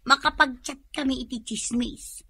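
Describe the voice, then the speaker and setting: An actress with an Ilocano accent playing a nosy, excitable married village gossip in a radio comedy drama, exclaiming and talking to herself. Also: female voice, sits high, husky, exaggerated voice.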